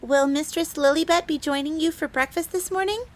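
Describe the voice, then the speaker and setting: A woman's voice: high-pitched, a little fast, lightly acted. A woman playing a perky, devoted young fox maid in an audio roleplay, with a cutesy, eager manner. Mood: eager, dutiful. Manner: cutesy, eager, refers to herself in third person. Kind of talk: scripted audio roleplay acting.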